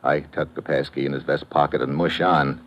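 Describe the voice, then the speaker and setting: An actor playing a tough, wry, wisecracking adventurer who narrates an old radio drama in clipped phrases. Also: male voice, a bass voice, level tone, normal speed, highs cut off, a little hiss or hum. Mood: tense, urgent. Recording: vintage radio with loud music behind.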